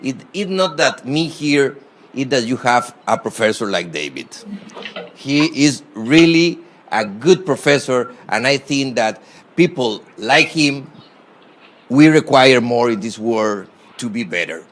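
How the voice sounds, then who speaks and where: A man from Costa Rica with a strong Costa Rican Spanish accent gives a university guest lecture in halting English, full of fillers and restarts, with emphatic stresses on key words. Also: medium pitch, expressive, fairly bright tone, a little hiss or hum, low-quality recording. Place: lecture hall.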